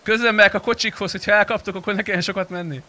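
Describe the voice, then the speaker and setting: A man's voice: high voice, fairly even intonation, pushed and bright. A man, a streamer, bantering casually in character during an online roleplay game. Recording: faint game car noise behind. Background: game car and ambience sounds.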